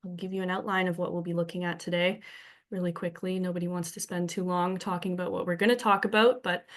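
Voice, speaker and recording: A young woman from Victoria, British Columbia, a little sick and sniffling, giving a friendly talk to a club audience from home, with many ums and restarts. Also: on the low side. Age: young adult. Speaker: guest presenter. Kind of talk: remote presentation talk. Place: home, presenting remotely.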